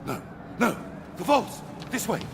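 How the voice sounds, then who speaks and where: An actor playing a cowardly, imperious Roman emperor in a video game, theatrical and frantic. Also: male voice, high voice, very animated, a little slow, vocal fry, full character voice. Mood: panicked. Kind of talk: dramatic video game acting. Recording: noisy, long reverberant hall.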